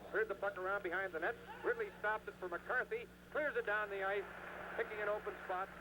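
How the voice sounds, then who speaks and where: Male hockey play-by-play caller, high voice, clipped urgent delivery, noisy vintage radio sound. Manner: clipped, urgent running narration. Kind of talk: live radio sports commentary. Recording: noisy 1970s radio broadcast.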